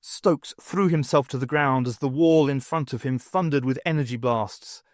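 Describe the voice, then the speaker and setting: Expressive male audiobook narrator, dry sound. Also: mid-range voice, moderate pace, dry recording. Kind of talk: dramatic scripted narration of fiction.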